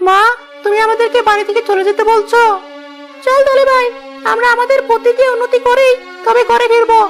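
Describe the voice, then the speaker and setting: A woman voicing a scheming, defiant young village brother in a cartoon fairy tale, with a creaky voice. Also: extremely high pitch, fairly even intonation, a little fast, over music. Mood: indignant.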